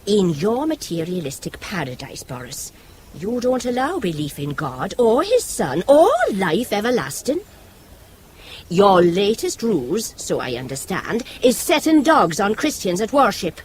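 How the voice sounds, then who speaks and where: A devout Irish woman who argues with righteous, sharp-tongued wit. Her Irish voice moves with lively intonation. It is radio drama dialogue, with slight noise behind it. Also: medium pitch, unhurried. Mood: righteous, combative.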